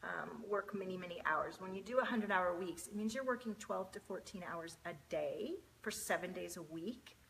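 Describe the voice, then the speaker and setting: A woman's voice: fairly low pitch, moderate pace, gravelly, few pauses, a bit bright, rough recording. A woman, hosting a casual live stream, chatty and greeting viewers by name between many fillers. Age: 40s.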